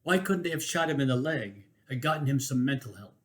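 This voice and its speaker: A man's voice: mid-range voice, lively intonation, brisk. A man reading a first-person essay aloud as an emphatic, rhetorical storyteller. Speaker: reader of a written personal chronicle.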